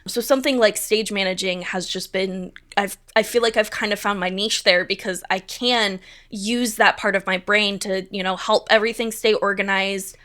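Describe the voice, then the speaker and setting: A chatty young woman talks through an interview in an easygoing, upbeat way, her words full of casual fillers. Her voice is bright and forward, and her pitch sits in the middle. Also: steady tone, normal speed, hardly any pauses. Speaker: stage manager.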